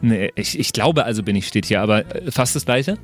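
A man's voice: on the low side, lively intonation, fairly quick, soft background music. A man chatting casually in speech, jokey and thinking aloud as he plays a tabletop role-playing game with others. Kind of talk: casual chat.

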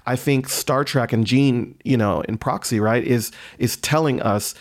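A man's voice: medium pitch, measured pace. A man speaking earnestly and philosophically in casual conversation as a podcast guest, often checking in as he goes. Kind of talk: reflective conversational discussion.

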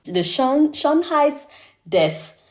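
A woman who is a native English speaker, speaking accented German haltingly and searching for words as an interview guest. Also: sits high, very animated. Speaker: podcast guest, financial adviser. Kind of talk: interview answers in a second language.

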